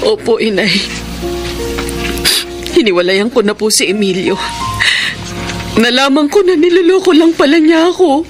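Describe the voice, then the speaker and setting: A woman's voice: sits high, normal speed, pauses often, over music, natural voice. An actress playing an emotional betrayed partner and regretful mother in a Filipino radio drama, with a Filipino accent. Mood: remorseful.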